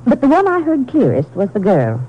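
A woman's voice: a little high, wildly swooping pitch, fairly quick, breathy edge, full character voice. An actress playing a chatty, prim older woman who rambles, in a 1950s radio drama. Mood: fussy. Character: fussy older woman hotel guest. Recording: muffled old radio broadcast.

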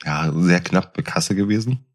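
A man casually telling personal anecdotes in an animated storytelling manner. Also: low voice, moderate pace.

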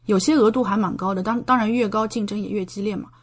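A woman's voice: medium pitch, fairly even intonation, quick pace. Explanatory woman in casual conversation. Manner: explanatory, talkative, gives examples. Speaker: podcast guest, doctoral student in medicine living in Japan.

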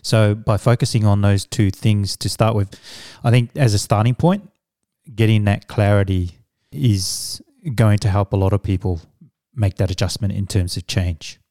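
A middle-aged man, a native Samoan speaker, answering interview questions in a measured, reflective way as a business coach. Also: lowish voice, fairly even intonation, darkish tone, no room sound, studio quality. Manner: measured, reflective, with fillers and restarts.

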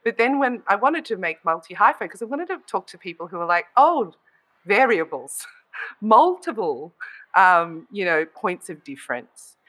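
Woman host, easygoing and expressive, mid-range voice, chatty. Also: mid-range voice, normal speed, poor audio. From Australia. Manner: expressive, laughs often, asks questions. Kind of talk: casual podcast chat.

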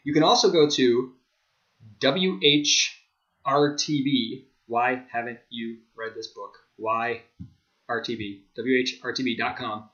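Man, podcast host, slightly muffled lo-fi recording, middling-low voice, casual promotional intro. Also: fairly bright tone. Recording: dull, lo-fi microphone sound.

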